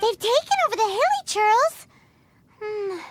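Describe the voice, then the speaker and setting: A woman voicing a tiny, excitable floating fairy companion who talks in a squeaky, childlike way in a video game. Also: unhurried, dry recording, exaggerated voice. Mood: chirpy. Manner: squeaky, childlike, refers to herself by name. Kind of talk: video game dub acting.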